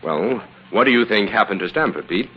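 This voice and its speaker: Man as low-voiced, shrewd radio investigator. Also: animated, brisk, close to an everyday voice. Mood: calm, probing. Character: shrewd, probing male investigator, calm and sceptical. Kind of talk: 1940s radio acting. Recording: muffled, faintly noisy old radio recording.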